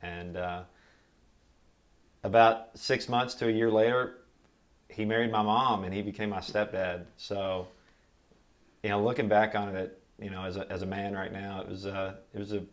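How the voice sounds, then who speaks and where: An American man giving a recorded oral history interview, recalling his life in a measured, reflective way. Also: low-pitched. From Kentucky, United States. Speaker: interviewee, military veteran.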